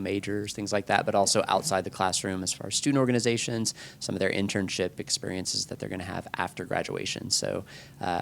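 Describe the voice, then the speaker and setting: A low, gravelly man moderates a student panel, his pitch staying flat as he stops often on uh. Faint rumble sits behind him. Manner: halting, many uhs. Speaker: program staff moderator.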